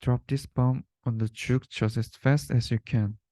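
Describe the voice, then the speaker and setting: A man's voice: mid-range voice, steady tone, unhurried, mellow, dry recording, studio quality. A young man reading a rhyming children's storybook aloud. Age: young adult. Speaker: student reader.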